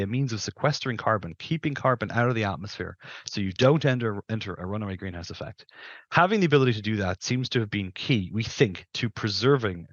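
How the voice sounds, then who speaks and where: A man's voice: on the low side, fairly bright tone, dry recording, muffled recording. A middle-aged man giving careful, qualified explanations as an interview guest, speaking into a headset on a video call. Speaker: guest planetary scientist.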